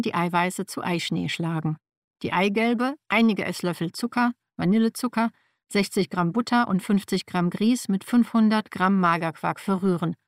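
A woman's voice: mid-range voice, dry recording, clean studio sound. Woman narrating dryly, native Slavic speaker. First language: a Slavic language. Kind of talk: audiobook narration of a personal nonfiction text.

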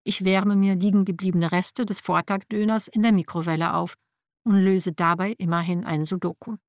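A woman's voice: lowish voice, steady tone, normal speed, a little mellow, no echo, clean studio sound. A woman whose first language is Slavic reads a personal nonfiction text aloud in a wry, matter-of-fact way. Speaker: narrator.

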